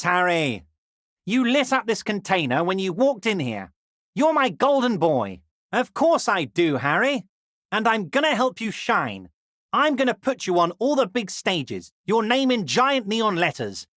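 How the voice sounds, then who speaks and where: An actor playing a scheming middle-aged union boss who flatters in a smug, drawn-out way, in a video game. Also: male voice, sits high, no room sound, studio quality, exaggerated voice. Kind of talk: video game character dialogue.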